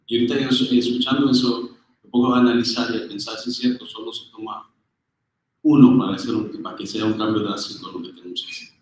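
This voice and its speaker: A man's voice: medium pitch, steady tone, racing. A man addressing a business audience in an earnest, emphatic way, with hesitations and fillers. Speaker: official speaker at a business briefing. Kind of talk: public address switching between languages. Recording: echoey room, far from the mic.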